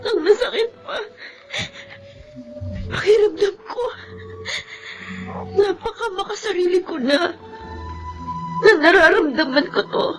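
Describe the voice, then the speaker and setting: Casting: resentful, sobbing Filipino wife for radio drama, very high-pitched voice, faint music underneath. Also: female voice, takes it slowly, long pauses, low-quality recording. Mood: sobbing regret. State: crying. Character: anguished, resentful pregnant young wife of an absent soldier.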